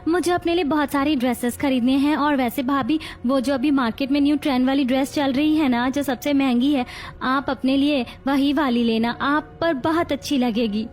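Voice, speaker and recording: Young, wheedling, spiteful sister-in-law played by a woman, high voice, moral-story drama. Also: moderate pace, a little mellow, natural voice. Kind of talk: acted Hindi family story dialogue.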